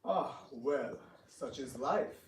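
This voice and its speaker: A man playing a sly, flattering, scheming visiting king in an amateur dramatic reading, with theatrical, drawn-out phrasing. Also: medium pitch. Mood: sympathetic. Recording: echoey room, distant mic, faint noise.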